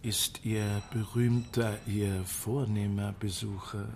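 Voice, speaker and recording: A man's voice: fairly low pitch, flat delivery, slow, darkish tone, a little hiss or hum. An actor in a radio play voicing a sly, prying theatre man with an oily, insinuating manner. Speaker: theatre employee.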